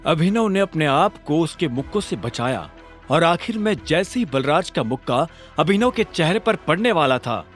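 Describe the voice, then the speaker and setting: A male narrator tells a dramatic scripted story in a fairly high voice. His delivery swings widely in pitch, and music plays behind him. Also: big pitch swings, normal speed.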